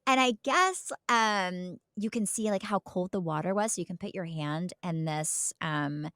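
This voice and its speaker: A woman's voice: on the low side, unhurried, a bit bright, no echo, clean studio sound. A middle-aged American woman from Oklahoma co-hosting a podcast, rambling through family stories in an emphatic, chatty way. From Oklahoma, United States. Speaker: podcast co-host. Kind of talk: casual chat, telling family anecdotes.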